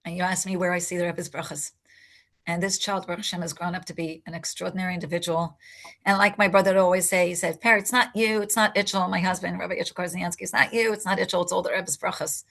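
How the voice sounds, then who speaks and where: A woman's voice: on the low side, fairly even intonation, quick pace, vocal fry, a bit bright. An older woman, a native English speaker, recounting a personal story in an interview, reflective and emotional. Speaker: interview guest.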